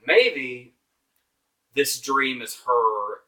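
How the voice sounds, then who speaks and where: A man hosts an informal movie review in a bright, forceful voice, sounding exasperated and sarcastic. He leans hard on his words and swears casually. The microphone is some distance away from him. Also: mid-range voice, animated, extremely slow. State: voice getting hoarse. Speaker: movie reviewer, show host.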